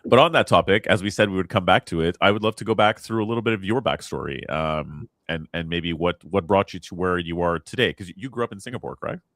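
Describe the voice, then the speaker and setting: A man hosting a podcast interview, chatty and encouraging, quick to affirm his guest. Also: deep voice, a bit bright, no room sound, studio quality. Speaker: podcast host. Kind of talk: casual interview chat.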